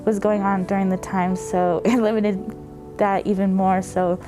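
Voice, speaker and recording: A young woman from California, a student, answering interview questions in an even, matter-of-fact way. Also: mid-range voice, measured pace. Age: young adult. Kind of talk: documentary interview. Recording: music bed under the voice.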